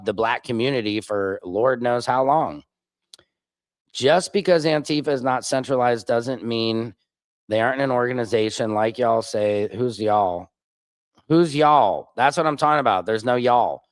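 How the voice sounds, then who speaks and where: A man's voice: mid-range voice, no echo. A man hosting a political livestream, talking back heatedly to news clips and reading chat comments aloud from his home laptop setup. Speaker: livestream host.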